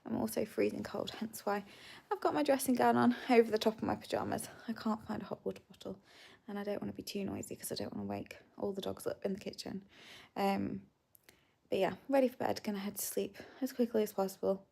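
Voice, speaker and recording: A woman's voice: medium pitch, animated, brisk, vocal fry, mellow, husky, dry recording. A young woman chatting candidly to camera in a casual diary-style vlog. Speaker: vlogger.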